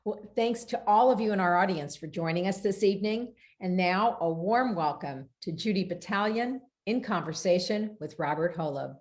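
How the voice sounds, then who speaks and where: A woman hosting a virtual bookstore event, reading a prepared introduction in a measured, formal way over a video call. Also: mid-range voice, lively intonation, darkish tone.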